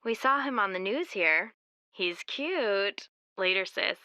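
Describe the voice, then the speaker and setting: A woman's voice: mid-range voice, lively intonation, slow pace, sharp tone, no echo, studio quality. A woman playing a playful, teasing younger sister in a video game.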